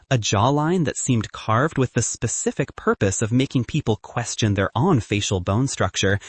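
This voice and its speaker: Male narrator, scripted storytelling, lively swooping intonation, slightly husky, dry recording. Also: mid-range voice, no echo. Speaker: audiobook narrator.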